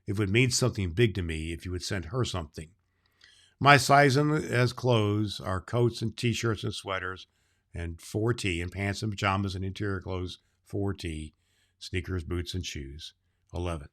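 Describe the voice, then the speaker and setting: A man playing Santa Claus, reading a children's book aloud and talking to kids in a slow, gentle storytelling manner. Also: deep voice.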